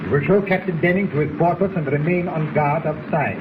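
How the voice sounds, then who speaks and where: A man's voice: fairly high pitch, fairly quick, close to an everyday voice. A man playing an arrogant, menacing agent doctor, in a precise, commanding 1940s radio acting style. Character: arrogant, menacing foreign agent doctor who stole a submarine. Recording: muffled, very noisy 1940s radio recording.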